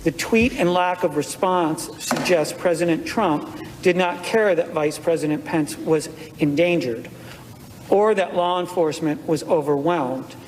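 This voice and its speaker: A man reads aloud in a formal, official style. His voice is on the high side and rings through a large, reverberant chamber. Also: measured pace, darkish tone, a little hiss or hum.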